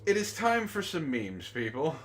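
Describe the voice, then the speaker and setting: Guy hosting a comedy read-along, super animated up and down voice, jokey and dramatic. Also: a little high, moderate pace. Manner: animated, mock-dramatic, breaks into laughter. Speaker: host of a comedy book club reading. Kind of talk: casual group banter and reading aloud.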